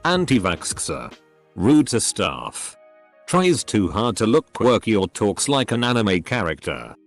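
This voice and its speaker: A synthetic man's voice narrates online posts in a steady, scripted read. His pitch sits a little low but swings widely from phrase to phrase. Soft music plays quietly underneath. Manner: synthetic reading, misreads punctuation aloud. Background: faint background music.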